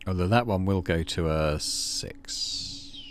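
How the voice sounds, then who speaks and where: A man's voice: low voice, huge pitch swings, slow pace. A man hosting a gaming let's play, chatting and thinking aloud between stretches of read-out narration. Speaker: gaming video host. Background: game music.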